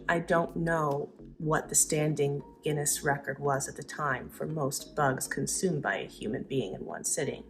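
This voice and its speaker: A woman giving a dramatic storybook reading, expressive, with casual asides in her own voice. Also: sits low. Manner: expressive, acts out dialogue.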